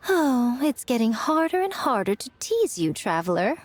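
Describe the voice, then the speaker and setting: A woman's voice: fairly high pitch, very animated, normal speed. A woman voicing a sly, centuries-old trickster spirit in a video game, playful and smug in her taunts. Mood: teasing. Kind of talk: video game character acting.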